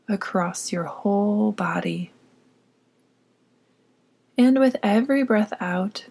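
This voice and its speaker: A woman leads a guided meditation at a very slow, unhurried pace. Her mid-pitched voice is soft and breathy, often close to a whisper. She gives gentle, soothing instructions as she goes. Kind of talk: scripted guided meditation narration.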